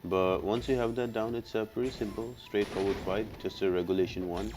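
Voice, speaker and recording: A man's voice: fairly low pitch, fairly even intonation, fairly quick, noisy background. A man giving a casual, instructional walkthrough commentary for a video game. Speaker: game guide creator.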